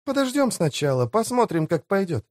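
A man voicing a wary young woman in an audiobook, speaking in a hushed, cautious manner. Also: high-pitched, big pitch swings, normal speed, no room sound, studio quality, voice pushed up. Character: wary young female companion of a pirate captive.

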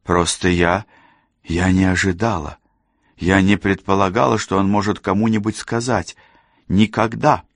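Man voicing a humble, pleading young policewoman, creaky. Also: sits low, fairly even intonation, a little slow, dry recording, clean studio sound, voice pushed up. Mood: shaken. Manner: hesitant, apologetic. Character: pleading young female detective, strained and humble.

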